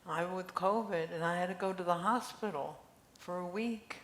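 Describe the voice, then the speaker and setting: A woman's voice: on the low side, normal speed, fairly bright tone, natural voice. An older woman reading the part of a chatty, curious friend in an amateur play. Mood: reflective. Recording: echoey room with faint noise.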